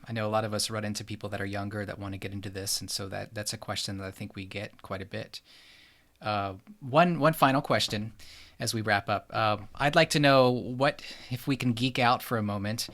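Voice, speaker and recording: A man's voice: on the low side, creaky, a bit bright. A man hosting a podcast, asking interview questions in a casual conversational style. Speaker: podcast host.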